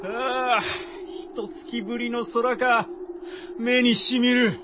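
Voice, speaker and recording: A man acting a weary, worried member of an expedition party in a radio drama, with expressive dramatic delivery. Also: very high-pitched, takes it slowly, muffled recording, background noise. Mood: relieved.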